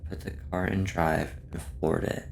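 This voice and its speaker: Man, horror narration, heavy gravelly creak, slow pace, measured, subdued, scripted first-person storytelling. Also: little pitch movement, a little hiss or hum. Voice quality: deep, gravelly.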